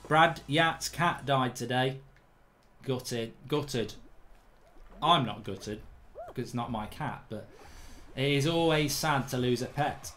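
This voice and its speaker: A hoarse man in his thirties doing live, blunt, sweary commentary while streaming a game from a desk indoors. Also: mid-range voice, slow pace, long pauses, fairly bright tone, soft background music. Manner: blunt, sweary, rambling banter.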